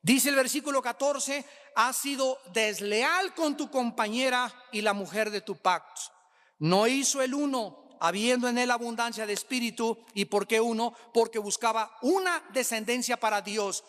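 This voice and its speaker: Male preacher, Mexican accent, bright forceful tone, driving points home through repetition, long hall reverb. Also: extremely high pitch, moderate pace. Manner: emphatic, repeats phrases for effect. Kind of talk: sermon.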